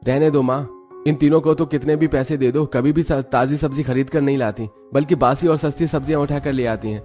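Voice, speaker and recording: A man voicing a poor, affectionate labourer father in a cartoon story, homely and expressive. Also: mid-range voice, fairly quick, soft background music, close to an everyday voice. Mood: grumbling. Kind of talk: Hindi cartoon story acting.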